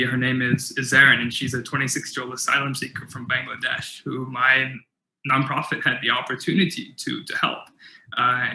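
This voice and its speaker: Young man, keynote speaker with a stutter, earnest, very bright tone, roomy echo. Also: medium pitch, a little hiss or hum. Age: young adult. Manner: earnest, with stutter repetitions and fillers. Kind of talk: motivational keynote talk.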